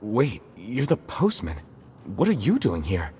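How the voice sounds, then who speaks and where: A man's voice: on the low side, huge pitch swings, unhurried, a little mellow, a little hiss or hum. A man playing an earnest, plain-spoken young hero in a video game. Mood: concerned. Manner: casual, blunt. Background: game music.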